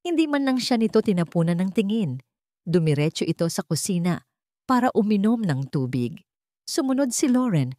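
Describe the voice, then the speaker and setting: A woman narrates a dramatic story in a slightly low voice. The sound is dry and free of room echo, as in a scripted audiobook. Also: darkish tone.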